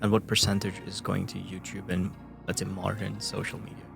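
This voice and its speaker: A man's voice: deep voice, flat delivery, brisk, vocal fry, over music. A man hosting a podcast, reading a guest introduction and asking interview questions. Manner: reads guest intro, short backchannels. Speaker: podcast host.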